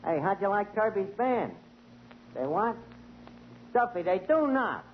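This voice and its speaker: A brash, wisecracking man with a high voice works the room on a 1940s radio comedy. He talks big and mangles his words. The old broadcast sounds dull and carries a faint hiss. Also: big pitch swings, unhurried. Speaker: tavern manager and show host.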